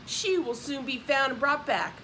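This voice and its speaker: A woman's voice: high voice, lively intonation, moderate pace, pushed and bright, a little hiss or hum. Woman reading as a stern, menacing male slaveholder. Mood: suspicious. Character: stern, threatening male slaveholder, cunningly persuasive. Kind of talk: audiobook character voice.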